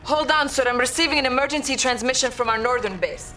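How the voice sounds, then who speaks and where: An actress playing a dutiful Soviet military aide, formal and deferential, in a game cutscene. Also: female voice, sits high, fast talker, bright, forceful tone, faint music behind. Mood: alert, concerned. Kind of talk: dramatic game cutscene acting.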